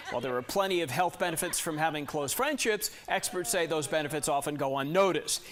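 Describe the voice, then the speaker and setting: A man's voice: fairly high pitch, fairly bright tone. An American man reading scripted TV news as an anchor, with emphatic delivery. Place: TV news studio.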